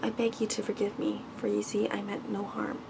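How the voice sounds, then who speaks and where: A woman's voice: mid-range voice, monotone, brisk, gravelly, background noise. A woman voicing a frightened, humble merchant father in a bedtime storybook, pleading in formal, deferential speech. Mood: humble, anxious.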